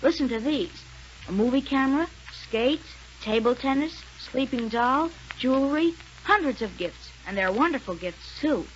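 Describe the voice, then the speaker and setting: Very high-pitched woman, vintage radio commercial. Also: lively intonation, normal speed. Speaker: advertising announcer. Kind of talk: 1930s radio commercial, scripted sales pitch. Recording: muffled, noisy 1930s radio recording.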